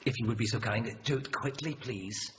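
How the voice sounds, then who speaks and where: An actor playing a quietly menacing hostage taker who gives halting, oddly courteous orders in a radio drama. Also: male voice, mid-range voice, close to an everyday voice. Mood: polite, controlled. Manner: clipped, halting, courteous commands. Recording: dull, noisy, distant radio drama sound.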